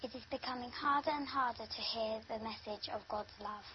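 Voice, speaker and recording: Teenage girl, earnest, pleading, high voice, dull recording. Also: brisk, slight background noise. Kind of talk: scripted video voice-over.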